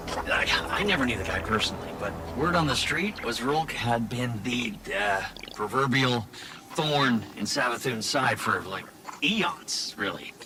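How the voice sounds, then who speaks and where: A man's voice: medium pitch, noisy background, lightly acted. A man voicing a rambling friendly ally. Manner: rambling, hesitant, informal. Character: chatty, friendly male ally in a game.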